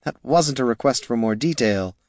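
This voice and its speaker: A man's voice: mid-range voice, animated, normal speed, a little mellow, dry recording, clean studio sound, pitched above the performer's own voice, close to an everyday voice. A man voicing a nervous, flustered young male scribe who protests anxiously, in a fantasy audiobook.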